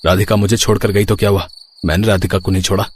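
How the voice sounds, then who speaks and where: A man playing an earnest, dutiful young husband who speaks firmly in an animated story. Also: on the low side, quick pace, natural voice. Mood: resolute.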